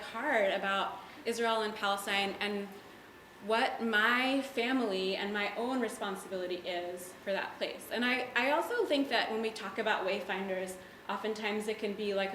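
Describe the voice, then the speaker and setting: A woman's voice: mid-range voice, fairly bright tone, slight background noise. Chatty woman in an echoey gallery. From United States. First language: Hebrew. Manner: chatty, lots of "like" and "um" fillers. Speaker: artist giving a gallery talk. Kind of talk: casual presentation to an audience. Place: art gallery, indoors.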